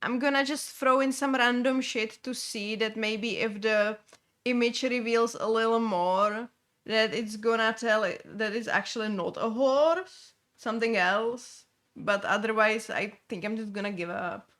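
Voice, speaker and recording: A young woman from Czechia with a Czech accent, chatting casually as she streams a game, halting with many fillers and restarts. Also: a little high, a bit bright. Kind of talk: casual gameplay chat.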